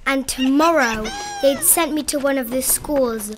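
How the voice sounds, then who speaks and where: A woman narrates a children's picture book in a high-pitched voice. She takes her time and dramatizes the tale expressively, with faint noise on the recording. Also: a little slow. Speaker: read-aloud narrator of children's picture books. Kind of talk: animated children's storybook read-aloud.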